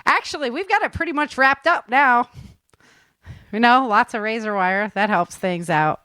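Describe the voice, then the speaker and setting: A woman's voice: a little high, normal speed, pushed and bright, no room sound. A woman hosting a casual chat in a talkative, giggly way, often acting out little quotes. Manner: chatty, laughs often, acts out little quotes. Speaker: audio drama producer and show host.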